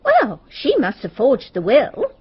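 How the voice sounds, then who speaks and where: A lively, gossipy village woman. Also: high voice, slow pace, breathy edge, heavily acted. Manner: chatty, wry, opinionated. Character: gossipy, sceptical middle-aged local woman, wry and certain. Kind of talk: radio mystery drama acting. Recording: muffled radio drama recording.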